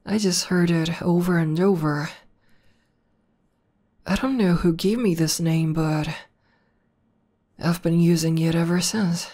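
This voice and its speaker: A woman playing a proud, battle-hardened tomboy demon girlfriend in an intimate ASMR roleplay, talking conversationally with thoughtful pauses. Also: on the low side, fairly even intonation, warm tone. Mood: reflective, proud. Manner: unhurried, hesitant pauses, conversational.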